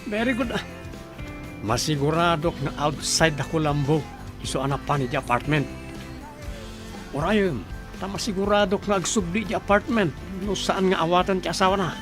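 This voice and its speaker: An actress plays a sly confidante in an Ilocano village radio drama. The voice is mid-pitched and egging-on, with music clearly playing underneath. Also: female voice, moderate pace, pauses often, close to an everyday voice. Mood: sly, approving. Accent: Filipino, Ilocano.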